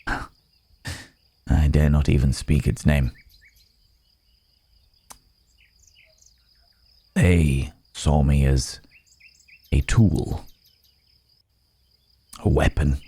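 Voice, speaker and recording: A man's voice: a bass voice, dark tone, slightly rough, no room sound, heavily acted. A man playing a weary, courteous cursed werewolf in an ASMR roleplay, speaking in formal, old-fashioned phrases with pensive hesitation. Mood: grave, bitter.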